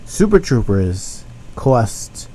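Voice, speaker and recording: Mellow-voiced young man, easygoing banter. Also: fairly low pitch, big pitch swings, drawn-out words, rough recording. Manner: easygoing, dry jokes. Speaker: podcast co-host. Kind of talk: casual movie chat.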